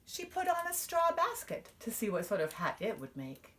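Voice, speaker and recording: A woman reading a children's picture book aloud, expressively, with dramatic pauses and emphasis. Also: a little high, wildly swooping pitch, fairly bright tone. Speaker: storybook reader.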